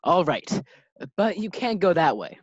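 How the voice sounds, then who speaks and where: Sitcom best pal, male role, acted: steady, calming, advising, vintage radio style, muffled dry sound. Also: mid-range voice, unhurried, husky, no echo. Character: Corey, calm, advice-giving male office friend. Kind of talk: 1940s radio sitcom acting.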